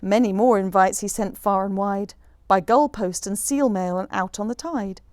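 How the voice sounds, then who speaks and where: A woman reading a rhyming children's picture book aloud in an expressive, rhythmic storyteller's manner. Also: mid-range voice, normal speed. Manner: animated, rhythmic rhyming delivery.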